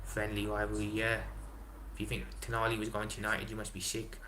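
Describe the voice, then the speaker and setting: An excitable young man talks through a casual live stream, reacting to chat and swearing freely. His voice sits a little low but sounds bright and forceful, and a faint hum of room ambience runs behind him. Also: a little fast, low-quality recording. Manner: excitable, swears, London slang like innit and big up. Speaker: livestream host reading chat.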